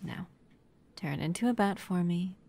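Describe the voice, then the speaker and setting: Protective human lover played by a woman for ASMR roleplay: low, very slow, scolding yet soft and whispery. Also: expressive, slight background noise. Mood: soft, affectionate. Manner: soft, breathy near-whispers mixed with stern scolding.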